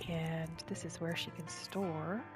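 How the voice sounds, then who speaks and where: A woman narrating a game roleplay in a gentle, hushed ASMR style, sometimes dropping to a whisper, unhurried. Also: low voice, steady tone. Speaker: gameplay narrator. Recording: music behind the voice. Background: music.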